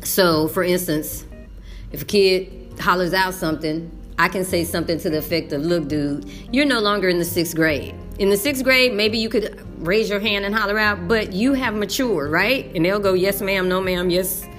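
A woman's voice: lowish voice, normal speed, fairly bright tone, faint music behind. A Texan woman teacher talking casually about middle school, folksy and emphatic, slipping into the voices she uses in class. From Texas. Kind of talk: casual talk to camera.

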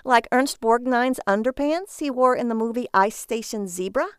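A woman voicing an exasperated, sarcastic wife who scolds wearily with mocking, drawn-out emphasis. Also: on the high side, clear, resonant tone, no echo, clean studio sound, voice pushed up, close to an everyday voice. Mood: weary scolding.

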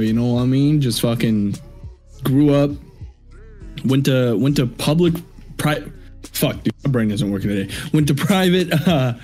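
A man's voice: on the high side, darkish tone. A young man from Washington State, a streamer and rapper, chatting as a guest over a video call, swearing freely and laughing often. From United States (Washington State). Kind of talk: casual chat.

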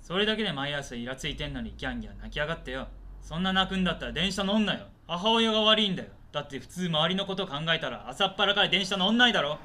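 A man playing a cornered, resentful male commuter in a radio drama, talking in rough slang, whining and belligerent as he defends himself. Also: on the high side, animated, very fast, pushed and bright. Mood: irritated, resentful. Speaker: actor in an amateur radio drama. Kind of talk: naturalistic radio drama dialogue.

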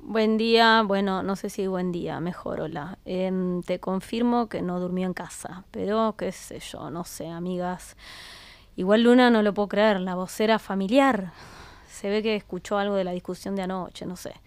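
A woman's voice: mid-range voice, fairly even intonation, normal speed, clear, resonant tone, no room sound, natural voice. Close, dry-sounding downhearted Argentine mother woman acting. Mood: downhearted, hesitant. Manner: hesitant. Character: downhearted, evasive middle-aged mother and wife. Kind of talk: naturalistic audio drama dialogue.

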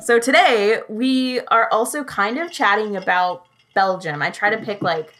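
A woman's voice: medium pitch, normal speed, a bit bright. A young woman hosting a podcast in casual chat, bubbly and giggly, often saying "like". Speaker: podcast host.

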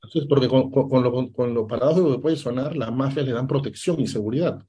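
A man, a political analyst answering interview questions, deliberate and sometimes stopping to search for a word. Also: mid-range voice, dark tone, husky. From Peru. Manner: deliberate, stops to search for words.

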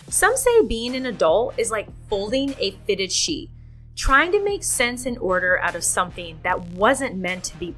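A woman's voice: a little high, fairly bright tone. A woman hosting a podcast, reading a scripted intro and then chatting with her guest in an upbeat, enthusiastic way, often saying "like". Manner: upbeat, enthusiastic, many "like" fillers. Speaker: podcast host.

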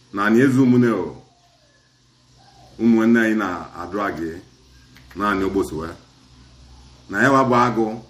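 A man's voice: fairly low pitch, roomy sound. A middle-aged man with an Asaba Igbo accent, recounting a community dispute as a chief in an interview and switching between Igbo and English. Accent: Nigerian, Asaba Igbo. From Nigeria. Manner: mixes Igbo and English in long recounting turns.

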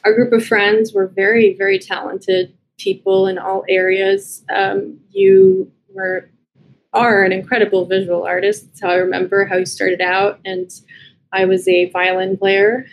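A woman's voice: medium pitch, animated, a little slow, vocal fry, a little hiss or hum, low-quality recording. A woman in her thirties with an American accent, talking casually and reflectively as an interview guest. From United States and Brazil. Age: 30s. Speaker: podcast guest, writer and editor.

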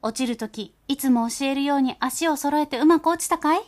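A woman's voice: a little high, moderate pace, a little mellow, natural voice. A woman voicing an anxious, humble, and very polite mother field mouse in a storybook reading.

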